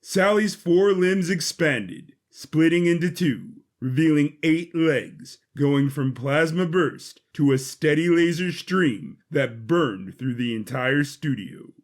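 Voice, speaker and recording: A man's voice: a little high, expressive, slow pace, hardly any pauses. A man reading scripted science-fiction story narration as the narrator.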